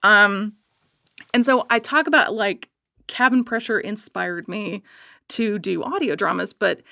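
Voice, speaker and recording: A woman chatting casually as a podcast guest, gushing with animated, emphatic enthusiasm. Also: mid-range voice, unhurried, gravelly, dry recording, clean studio sound.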